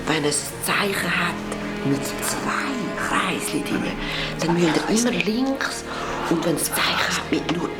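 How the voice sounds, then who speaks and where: A woman with a Swiss German accent playing a secretive informant who gives directions in a hushed, conspiratorial whisper in a children's radio play. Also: lowish voice, lively intonation, measured pace, reverberant. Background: music.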